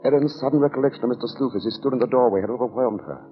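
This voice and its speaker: A man's voice: mid-range voice, rapid-fire, soft background music, natural voice. A man narrating a suspenseful 1940s radio thriller in a grave, dramatic manner. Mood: grave, mounting dread. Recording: dull, noisy vintage radio recording.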